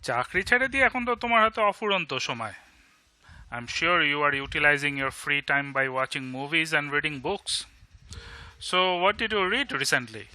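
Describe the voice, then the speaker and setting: A man's voice: a little high, very animated, rapid-fire, sharp tone, no room sound, exaggerated voice. A man with an Indian Bengali accent playing a blunt, sardonic but loyal bachelor friend in an audio drama, with emphatic delivery. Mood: incredulous, sarcastic. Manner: sarcastic, emphatic, switches between English and Bengali. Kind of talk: amateur audio drama acting.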